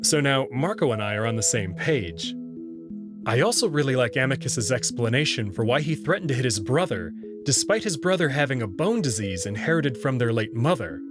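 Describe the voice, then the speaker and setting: A man's voice: mid-range voice, very animated, brisk, music in the background. A man giving scripted narration and commentary as a host, with wry, playful asides. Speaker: host reading a visual novel and commenting on it.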